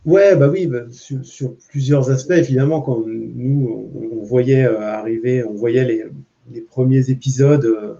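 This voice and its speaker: A man's voice: mid-range voice, steady tone, unhurried, vocal fry, dark tone. A man with a French accent talking casually as a comics artist on a panel, informal and hesitant as he searches for words. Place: public panel at a comics festival. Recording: muffled, dull recording.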